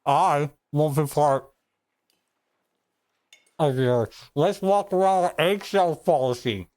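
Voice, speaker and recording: A man playing a devoted, protective boyfriend in a dramatic roleplay audio, speaking emphatically with sarcastic edges and dramatic pauses. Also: a little high, darkish tone, no echo. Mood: indignant, sarcastic.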